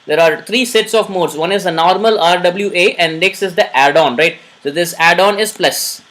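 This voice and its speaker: A man's voice: high-pitched, normal speed, a bit bright, poor audio. A man teaching a live online programming class. He repeats each point and often checks that his listeners are following. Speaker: programming instructor.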